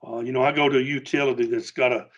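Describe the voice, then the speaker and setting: A man's voice: medium pitch, steady tone, a bit bright. Southern US safety trainer, male, muffled recording. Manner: halting, plain-spoken, earnest. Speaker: safety trainer for utility line workers. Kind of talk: informal spoken safety briefing.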